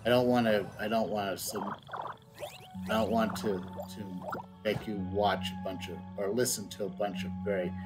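A middle-aged man livestreaming a game, chatting casually in a rambling, halting way and reading out on-screen text. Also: fairly low pitch, steady tone. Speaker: game streamer. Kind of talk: casual livestream chat and read-out. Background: game music.

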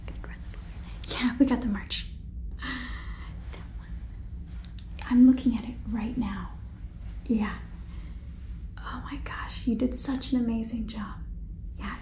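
Woman playing a soft, whispery scheming businesswoman. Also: fairly high pitch, fairly even intonation, slow, long pauses. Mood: busy, pleased. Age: young adult. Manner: chatty, stammering, salesy. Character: brisk, scheming alien-farm businesswoman boss. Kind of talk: ASMR roleplay sitcom, whispered and softly spoken.

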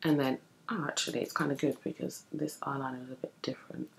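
A young woman with a deep early-morning voice, chatting through a makeup tutorial at home while she works on her eyes. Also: steady tone, quick pace, creaky, slight background noise. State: just woken, deep morning voice. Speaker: beauty vlogger. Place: home room with bookshelves, indoors.

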